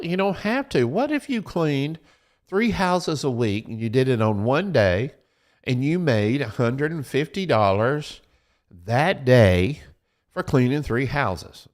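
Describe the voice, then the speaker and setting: A man with a slightly raised voice talks to his viewers like a preacher at a pulpit. His delivery is folksy and repetitive, circling back to his points. He keeps telling people to write things down. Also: on the high side. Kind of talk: preaching-style financial advice talk.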